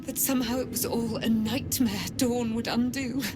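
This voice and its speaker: A woman's voice: a little high, fairly even intonation, normal speed, music in the background, lightly acted. A woman playing a devoted, noble warrior lover in a video game cutscene, solemn and impassioned. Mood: tender, solemn. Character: devoted, noble female warrior reunited with her love. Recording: clear background noise and ambience.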